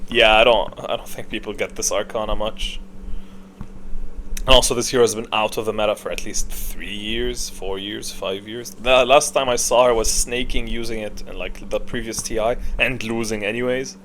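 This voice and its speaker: A man doing casual, unscripted tier-list commentary, opinionated and halting as he thinks aloud. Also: lowish voice, steady tone, fairly bright tone, slight background noise. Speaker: video creator, gaming commentator.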